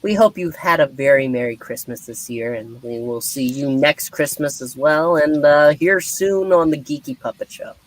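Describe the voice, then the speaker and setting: A man's voice: on the high side, moderate pace, few pauses. A man hosting a comic show, casually addressing viewers in a sign-off. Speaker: show host.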